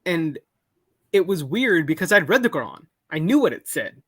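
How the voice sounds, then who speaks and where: A young man calling in to a live show, talking in a halting way full of restarts and self-corrections. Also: fairly high pitch, sing-song, fairly bright tone, husky, no room sound, clean studio sound. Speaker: caller. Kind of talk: casual call-in conversation. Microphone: call-in over a video call.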